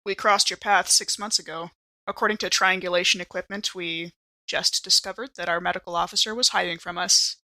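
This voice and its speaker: A woman playing a shrewd, sceptical spaceship officer in a tabletop game, measured, direct and probing. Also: on the low side, fairly even intonation, pushed and bright. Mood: pointed. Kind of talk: tabletop role-play acting.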